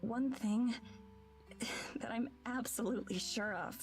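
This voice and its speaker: An actress voicing an earnest young woman in love in a visual novel, with soft, hesitant, heartfelt delivery. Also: a little high, measured pace, soft background music. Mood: earnest, tender.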